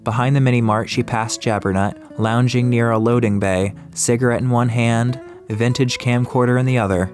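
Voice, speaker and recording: A man's voice: medium pitch, steady tone, moderate pace, hardly any pauses, darkish tone. A man narrating an atmospheric audiobook story from a script. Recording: music behind the voice.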